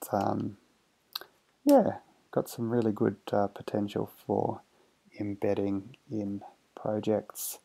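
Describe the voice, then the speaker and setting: A man's voice: fairly low pitch, gravelly, mellow, husky, rough recording. A man casually narrating a hands-on electronics demo in a hesitant, understated way, thinking aloud. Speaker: electronics hobbyist presenter.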